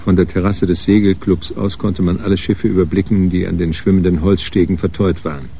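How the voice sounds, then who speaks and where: An actor narrating a radio crime drama as a composed storyteller. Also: male voice, sits low, flat delivery, brisk, creaky, warm tone, a little hiss or hum. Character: composed male storyteller of a detective tale.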